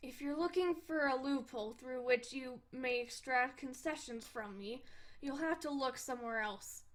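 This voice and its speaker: Woman playing a stuffy snob boy, condescending and formal, voice still high. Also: moderate pace, natural voice. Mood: lecturing. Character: haughty stiff young male troll, snobbish.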